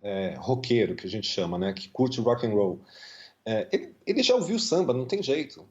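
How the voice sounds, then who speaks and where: A man's voice: normal speed, lo-fi sound. A Brazilian man with a Brazilian Portuguese accent, a musician guest talking casually, thoughtful, with many fillers and repeats. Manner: thoughtful, many fillers and repetitions, lively pitch swings. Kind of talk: casual conversational interview.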